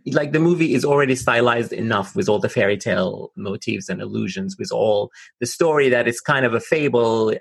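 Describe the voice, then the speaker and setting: A man co-hosting a film podcast, talking through performances in a steady, analytical way. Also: medium pitch, creaky, husky, dry recording. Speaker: podcast co-host. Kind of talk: casual film discussion.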